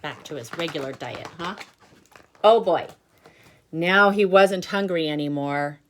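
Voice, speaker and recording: A woman teacher reading aloud to children, with expressive emphasis and instructive explanatory asides. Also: sits low, unhurried. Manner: instructive, checks in with listeners.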